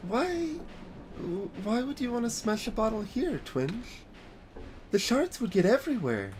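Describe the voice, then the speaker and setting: A man voicing a gentle, hesitant young child adventurer in a video game, curious and apologetic. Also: very high-pitched, big pitch swings, a little slow, slight background noise, voice pushed up, heavily acted. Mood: puzzled. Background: game music.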